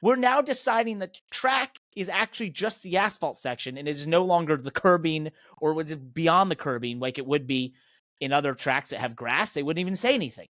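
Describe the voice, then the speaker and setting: A man's voice: on the high side, lively intonation, a little fast, fairly bright tone, no echo, studio quality. An American man hosting a motorsport podcast, talking in an emphatic, indignant and opinionated way. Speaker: podcast host and professional race car driver.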